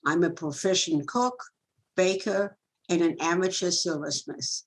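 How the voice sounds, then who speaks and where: A woman's voice: lowish voice, measured pace. An older woman giving a first-person introduction about her own life. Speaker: Holocaust survivor introducing themselves.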